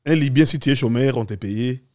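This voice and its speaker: A man's voice: mid-range voice, brisk, a little mellow. A man speaking as a guest in an opinionated political discussion, assertively explaining with examples.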